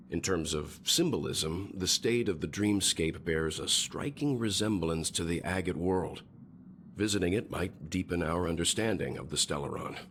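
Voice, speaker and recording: An actor playing a calm, learned mature man in a video game, measured, grave and thoughtful. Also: deep voice, slight background noise. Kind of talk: scripted game dialogue.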